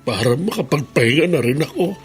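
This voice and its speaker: Casting: lonely, stubborn old father, fairly high voice, sorrowful and halting, radio drama with prominent music. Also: animated. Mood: weary, resigned. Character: stubborn grieving elderly widowed father, weary and lonely.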